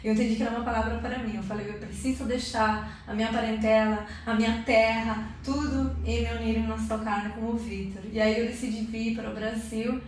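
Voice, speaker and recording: A woman's voice: fairly high pitch, fairly quick, hardly any pauses, a little hiss or hum. Woman sharing an emotional church testimony. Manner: earnest, tag questions, lively. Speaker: interview guest giving testimony. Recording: echoey room, mic at a distance.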